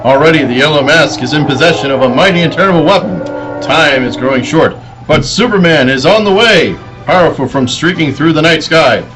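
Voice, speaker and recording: A man's voice: on the high side, lively intonation, a little fast, over music, natural voice, rough recording. A man playing a dramatic announcer narrating in the style of a 1940s radio serial. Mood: suspenseful.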